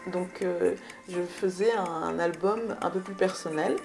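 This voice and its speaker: Low-voiced creaky woman giving interview answers. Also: steady tone, unhurried, music in the background. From Belgium. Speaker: musician, singer and guitarist. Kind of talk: interview answers about personal career.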